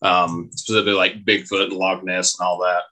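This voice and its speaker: A man's voice: sits low, little pitch movement, fairly quick, sharp tone. A man chatting casually as a podcast co-host, hesitant and often searching for his words. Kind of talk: casual chat.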